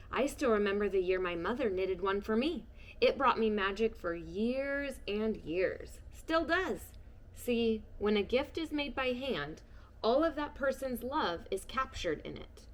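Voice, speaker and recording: A kindly old grandfather with a soft, hushed, secretive way of speaking, put on by a woman reading a storybook. He keeps his voice low and gentle, letting his pitch rise and fall as he explains. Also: lively intonation, unhurried. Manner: hushed, often whispering.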